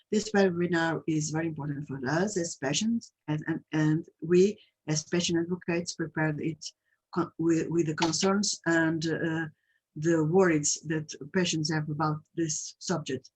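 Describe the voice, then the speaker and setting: Woman, Portuguese accent, husky voice, halting non-native English, webinar host. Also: deep voice, a little mellow, low-quality recording. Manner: halting, many fillers and restarts. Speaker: patient advocate hosting a webinar. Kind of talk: webinar presentation.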